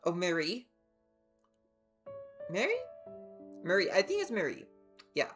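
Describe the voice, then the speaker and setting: A woman gives casual livestream commentary over game music. Her voice is bright and very lively in pitch, and she rambles chattily as she reacts. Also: a little slow.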